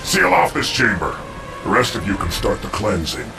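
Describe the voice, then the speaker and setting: A man's voice: on the low side, sing-song, normal speed, gravelly, over music. A man playing an imperious, contemptuous overlord in a video game cutscene, speaking formally and with command. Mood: cold fury.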